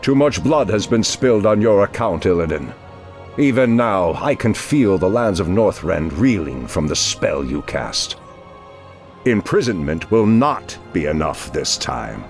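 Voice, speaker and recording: Fantasy game archdruid: grave, commanding, theatrical, gravelly and slow, over music. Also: male voice, deep voice, very animated, heavily acted. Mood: grave resolve. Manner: solemn, theatrical, emphatic. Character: anguished, noble male archdruid, grave and commanding. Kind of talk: dramatic game cutscene acting.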